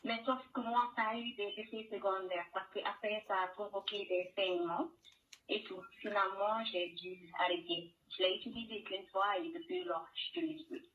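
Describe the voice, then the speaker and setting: A woman's voice: medium pitch, normal speed, slight background noise. A woman caller from Guinea tells of her own experience in a hesitant way. Her voice is very echoey and set back from the microphone, muffled by the shortwave radio broadcast. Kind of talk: recounting personal experience. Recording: echoey, distant, dull call on shortwave radio.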